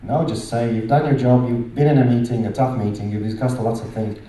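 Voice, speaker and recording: Echoey, dark-voiced Latvian-accented man presenting. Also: medium pitch, steady tone, a little fast. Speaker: conference speaker. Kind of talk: conference presentation. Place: large conference hall, indoors. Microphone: handheld microphone. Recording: very echoey hall, distant sound.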